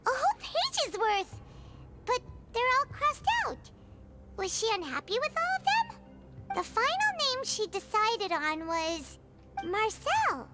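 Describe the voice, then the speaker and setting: A woman voicing a small, childlike, excitable fairy companion in a video game, speaking in exclamations. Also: extremely high pitch, takes it slowly, heavily acted. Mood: puzzled. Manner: speaks of herself in third person, exclaiming. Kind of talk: video game character acting. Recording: game music behind the voice.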